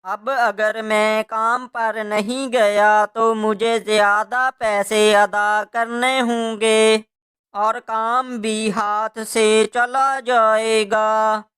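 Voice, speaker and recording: A man voicing a tired, ailing father crow in a cartoon moral story, worried and gentle with his family. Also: high voice, flat delivery, very slow, clean tone, soft background music, heavily acted. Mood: weary.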